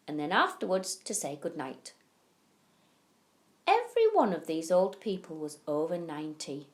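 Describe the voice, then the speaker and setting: A woman reading a children's bedtime story expressively, with deliberate phrasing and drawn-out key words. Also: on the low side. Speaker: primary school teacher reading to pupils.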